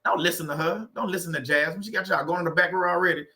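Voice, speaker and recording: A man with a Louisiana drawl hosts a relaxed livestream, loose and teasing as he greets viewers one by one. His voice is bright and forward, sitting a little higher than most men's. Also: very fast. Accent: Louisiana Southern US. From Louisiana, USA. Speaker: livestream host. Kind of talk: casual livestream chat with viewers.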